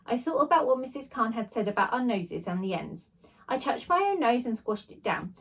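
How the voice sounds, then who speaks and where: A woman teacher reading a children's storybook aloud with expressive narration. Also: a little high, husky.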